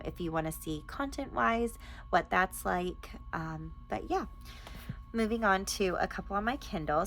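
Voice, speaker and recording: Creaky-voiced woman chatting about her picks. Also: mid-range voice, a bit bright. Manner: enthusiastic, informal. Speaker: book reviewer and podcast host. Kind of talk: casual chatty book recommendation vlog.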